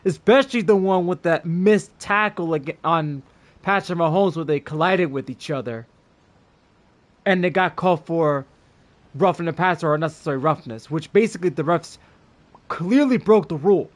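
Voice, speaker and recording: A man's voice: on the high side, moderate pace. A man streaming a game and giving a casual, blunt, sweary rant with emphatic opinions. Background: game music.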